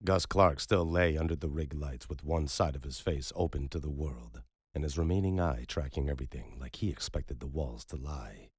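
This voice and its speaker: A man narrating a dark thriller audiobook in a measured, moody storytelling manner. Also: a bass voice, slightly rough, no echo, clean studio sound.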